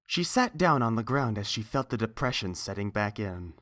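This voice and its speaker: A woman's voice: extremely low pitch, sing-song, normal speed, a bit bright. A woman reading a scripted story aloud as the narrator.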